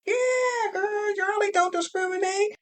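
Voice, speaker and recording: A woman's voice: extremely high pitch, unhurried, pitched above the performer's own voice. A woman voicing a breezy, irreverent, gossipy receptionist who jokes in candid slang for an audio drama. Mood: joking. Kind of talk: audio fiction character dialogue.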